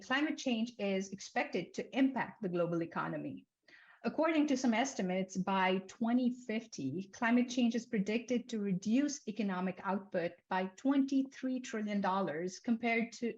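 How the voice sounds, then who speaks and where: A young woman from Nepal giving an academic seminar talk, with a measured, list-like delivery and frequent fillers. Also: mid-range voice, expressive, a little mellow. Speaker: seminar speaker, climate researcher. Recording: slightly dull lo-fi lecture audio.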